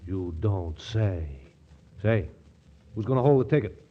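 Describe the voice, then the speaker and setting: An actor playing a brash, dim, jovial young ex-athlete fiancé in a 1940s radio comedy. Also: male voice, lowish voice, expressive, unhurried, narrow, tinny audio. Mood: easygoing. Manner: blustery, chuckling at his own wit. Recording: vintage 1940s radio broadcast.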